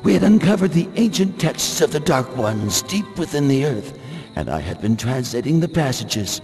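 An actor playing a grave, urgent old exiled scholar in a video game, speaking formally and theatrically. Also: male voice, mid-range voice, sing-song, normal speed, darkish tone, faint music behind. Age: older. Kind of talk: dramatic video game acting.

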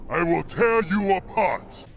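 An actor playing an arrogant, hostile warrior in a video game, with a gravelly voice and imperious threats. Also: male voice, high voice, animated, slow pace, music in the background, full character voice. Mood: enraged.

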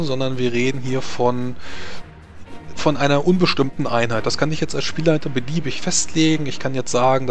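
A man acting as game master, calmly explaining rules and the map to his players. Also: mid-range voice. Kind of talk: running a tabletop strategy game over voice chat.

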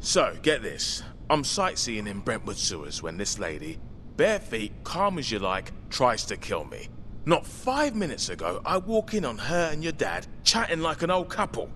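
An actor playing a wisecracking, streetwise British survivor in a zombie video game, flippant and slangy in manner. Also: male voice, mid-range voice, wildly swooping pitch, normal speed, bright, forceful tone. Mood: wry, insistent. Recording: faint background ambient noise.

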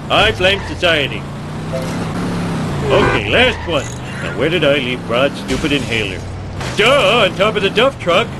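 A man's voice: high voice, noisy background. A man voicing a dim, whiny, lazy middle-aged dad who complains childishly in a cartoon video game. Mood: anxious, grumbling.

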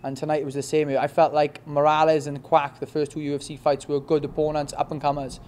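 A young man with a Welsh accent in a post-fight interview, talking quickly with many restarts and "you know what I mean". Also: a little high, monotone, darkish tone. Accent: Welsh, Swansea. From Wales. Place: press backdrop indoors. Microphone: reporters' handheld mics in front.

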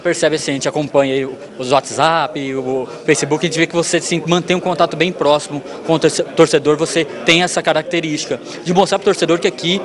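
A Brazilian sports reporter, a man, asking questions quickly with a fairly high voice. He speaks into a handheld mic in an indoor hall, and the chatter of a busy crowd carries behind him. His tone stays conversational. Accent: Brazilian Portuguese. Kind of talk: post-event player interviews. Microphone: handheld microphone. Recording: lively crowd noise behind.

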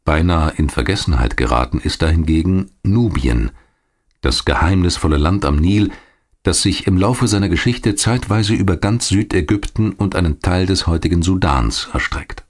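A man narrating a scripted history documentary in a measured, articulate storytelling manner. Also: low-pitched, animated. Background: music.

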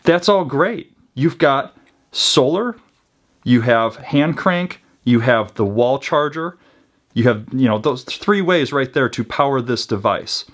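A man's voice: mid-range voice, lively intonation, slow, a bit bright. A man in his thirties giving a casual product review to camera indoors, chatty and informal, often checking in with the listener. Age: 30s. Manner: informal, conversational, checks in with "right?". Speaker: host of a preparedness gear channel. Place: small indoor room with built-in shelves.

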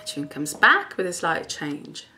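A woman's voice: low-pitched, big pitch swings, slow, music in the background. A middle-aged woman, a native Italian speaker, thinking aloud informally and self-critically as she practises and teaches singing at home. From Italy. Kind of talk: thinking aloud while demonstrating vocal study. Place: living room at home.

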